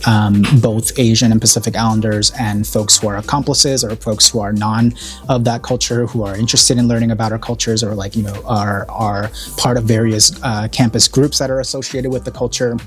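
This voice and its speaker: A man's voice: lowish voice, fairly even intonation, fairly quick, faint music behind. A man who was born in Korea, a college program director, speaking earnestly and reflectively in an interview with many fillers. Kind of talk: interview talking about an event.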